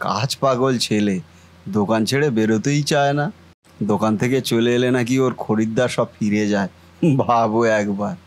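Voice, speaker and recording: A man's voice: on the low side, fairly even intonation, normal speed, natural voice. A man voicing a kindly, reassuring eldest brother and office worker in a family cartoon. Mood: reassuring, fond. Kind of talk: Bengali family cartoon voice acting.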